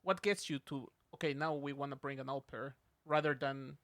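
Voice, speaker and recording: A man from Mexico co-hosting a podcast, asking questions in a halting way with lots of restarts. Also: mid-range voice, steady tone, fairly bright tone, no room sound. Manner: hesitant, restarts his questions, laughing. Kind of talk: casual podcast chat.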